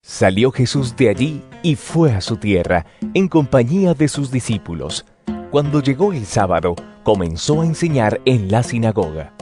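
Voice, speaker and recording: Male narrator, expressive, lively intonation, mid pitch, scripture storytelling with faint music underneath. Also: moderate pace.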